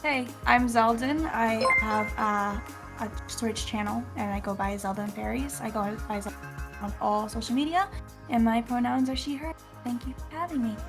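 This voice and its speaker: A woman's voice: fairly high pitch, moderate pace, music in the background. Thoughtful woman with hesitant fillers. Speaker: panelist, streamer. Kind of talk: casual panel talk.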